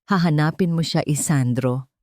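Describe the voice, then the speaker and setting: Female reader as elderly grandmother in a romance audiobook, earnest and encouraging, lower register, dry sound. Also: moderate pace, a little mellow, no echo, close to an everyday voice.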